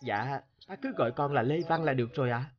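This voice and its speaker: An actor playing a humble, devoted young scholar in an animated drama, earnest and deferential, full of feeling. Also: male voice, mid-range voice, fast, muffled recording, lightly acted. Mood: polite. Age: young adult.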